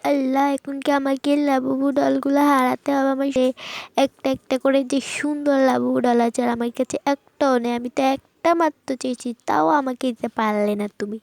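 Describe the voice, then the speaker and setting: A woman's voice: sits high, fairly even intonation, a little fast, gravelly, a little mellow, dry recording. A woman voicing a pleading young girl who begs for a toy, in a homemade story voice-over. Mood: sulky. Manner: whiny, pleading.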